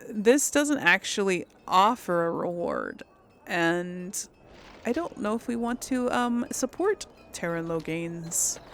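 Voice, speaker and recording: A woman's voice: on the low side, very animated, takes it slowly, fairly bright tone, a little hiss or hum. A woman doing casual, chatty let's play commentary, thinking aloud and reading in-game text. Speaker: host of a role-play game series.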